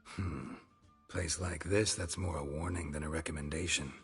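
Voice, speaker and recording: An actor playing a gruff, terse monster hunter in a video game, husky and deadpan. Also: male voice, rumbling low, normal speed. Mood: wary, dry. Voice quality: gravelly, husky. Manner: clipped, deadpan. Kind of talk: video game dialogue acting. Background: background music.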